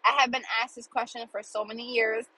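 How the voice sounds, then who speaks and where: A woman's voice: on the high side, flat delivery, a little fast, pushed and bright, husky, poor audio. A woman in her thirties hosting a casual monologue podcast, earnest and emphatic, repeating phrases for emphasis, sounding a little congested. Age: 30s. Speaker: podcast host.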